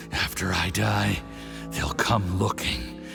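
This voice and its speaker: An actor playing a poisoned male foreign diplomat who rages and struggles to speak, with a slow, strained delivery. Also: deep voice, creaky, over music, heavily acted. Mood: defiant. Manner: furious outbursts, slow and strained. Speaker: game character.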